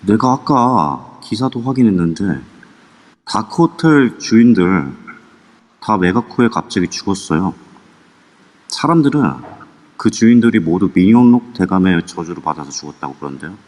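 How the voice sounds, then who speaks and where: A man's voice: fairly low pitch, animated, moderate pace, darkish tone, in a large hall. An actor playing a timid, reluctant younger man in an audio drama, talking hesitantly and drawing out his words. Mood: fearful. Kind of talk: amateur audio drama acting.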